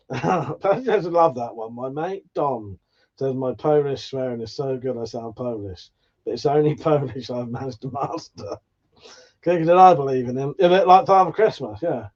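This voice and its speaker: A man's voice: medium pitch, a little mellow, lo-fi sound. A man from England, hosting a casual livestream, reading out chat comments in a sweary, jokey way with frequent laughs. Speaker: livestream host.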